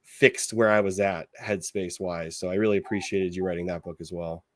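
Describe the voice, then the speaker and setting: A man hosting a podcast, asking questions in a relaxed, hesitant way with fillers. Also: deep voice, fairly quick, dry recording, clean studio sound. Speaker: podcast host. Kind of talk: casual interview.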